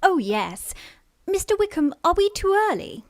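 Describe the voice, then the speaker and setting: Woman acting a high-voiced, demure young lady. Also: big pitch swings, moderate pace, dry recording. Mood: shy, polite. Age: young adult. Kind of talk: radio play farce acting.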